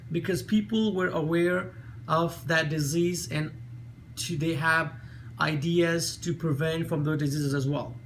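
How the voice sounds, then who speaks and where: A man from Nepal with a Nepali accent, reading an earnest talk with careful pauses and small slips. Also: sits high, fairly bright tone, slight background noise. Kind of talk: read-aloud video talk.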